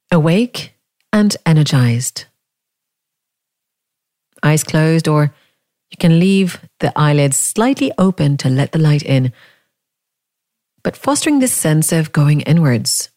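A woman leading a guided meditation, speaking in gentle, inviting questions with long pauses between phrases. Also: low-pitched, no room sound, studio quality. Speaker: meditation teacher.

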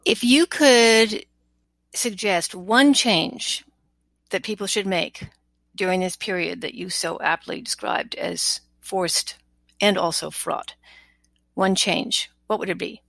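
A woman's voice: medium pitch, slow pace, gravelly, a bit bright, dry recording. A middle-aged or older woman hosting a podcast, asking questions conversationally and rephrasing them as she goes. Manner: tentative, rephrases questions mid-sentence. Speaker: podcast host, interviewer. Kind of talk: conversational interviewing.

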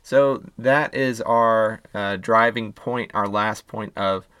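Guy hosting a chill wellness podcast, kinda hoarse, lowish voice, dry close mic, encouraging. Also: steady tone, takes it slowly, a bit bright, no room sound. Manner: upbeat, encouraging, corny jokes. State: slightly hoarse. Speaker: podcast host. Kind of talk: casual solo podcast talk.

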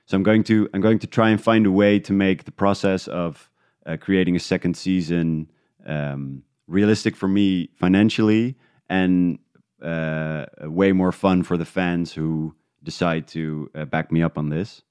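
A man talking casually and reflectively as an interview guest, feeling his way through thoughts with halting restarts. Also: low voice, fairly even intonation, a little mellow, clean tone, dry recording, studio quality. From Netherlands. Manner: halting, thinking aloud in restarts. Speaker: interview guest, independent podcast creator.

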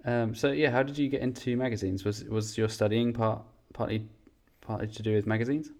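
A man's voice: fairly low pitch, steady tone, brisk, darkish tone. A man hosting a podcast, reading a scripted intro and then chatting with a guest, often restarting his questions. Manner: hesitant, many restarts when interviewing. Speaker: podcast host. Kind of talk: scripted intro and casual interview.

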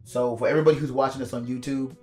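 A man co-hosting a casual podcast, hoarse and raspy from coughing, ranting quickly and laughing. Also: mid-range voice, fairly even intonation, darkish tone, faint music behind. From DC area. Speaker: podcast co-host. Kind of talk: casual profane podcast banter.

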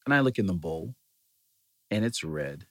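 A man's voice: deep voice, big pitch swings, moderate pace, a bit bright. A man casually telling a rambling personal anecdote, often correcting himself and chuckling as he goes. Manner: rambling, self-correcting storyteller.

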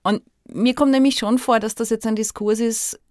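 A woman's voice: fairly high pitch, fairly even intonation, normal speed, darkish tone, dry recording, studio quality. A woman with an Austrian accent hosting a podcast, talking reflectively and searching for her words. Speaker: podcast host. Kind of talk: reflective conversational interview.

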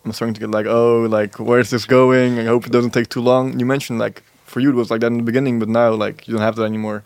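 A man's voice: mid-range voice, flat delivery, fast talker, darkish tone, no room sound. A young man hosting a podcast interview in a shop, friendly and eager, often repeating words as he starts a sentence. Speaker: podcast host. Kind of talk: casual interview chat. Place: pop-up store.

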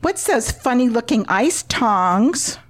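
A woman's voice: a little high, very animated, takes it slowly, heavily acted. A woman voicing a bratty, endlessly questioning six-year-old girl in an old-time radio comedy, whiny and childish. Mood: curious, whiny.

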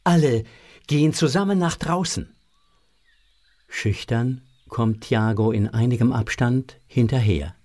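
A man's voice: medium pitch, unhurried, a little mellow. A man narrating a children's audio drama as a scripted storyteller. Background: music.

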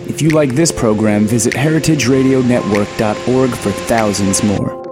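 A man's voice: mid-range voice, moderate pace. Male announcer for scripted station spots, mid-range voice, music bed underneath.

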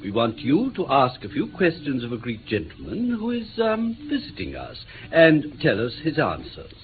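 A man's voice: medium pitch, wildly swooping pitch, moderate pace. An actor playing a middle-aged, falsely genial and sinister male villain in an old British radio play, oily and coaxing. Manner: oily, coaxing, repetitive politeness. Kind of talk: mid-20th-century British radio play acting. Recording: tinny, slightly noisy old radio recording.

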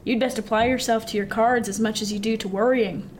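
A woman acts out a seasoned merchant sailor man for a radio play. The voice sits fairly high, wry and confiding, full of old-fashioned nautical turns of phrase. There is clear background noise around it. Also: steady tone, a little fast, close to an everyday voice. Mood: wry, explaining. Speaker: actor. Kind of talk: radio drama acting.

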